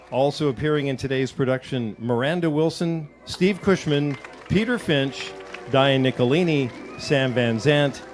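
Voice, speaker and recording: A man works as the announcer for an old-fashioned radio show. His voice is medium in pitch and formally presented, pitched like a sponsor's salesman. Music plays softly behind him.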